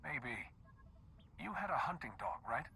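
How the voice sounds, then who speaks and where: An actor playing a compassionate, calm male Jedi in a video game, earnest and measured, with a breathy, husky edge. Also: on the high side, full character voice. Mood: composed. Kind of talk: video game cutscene acting.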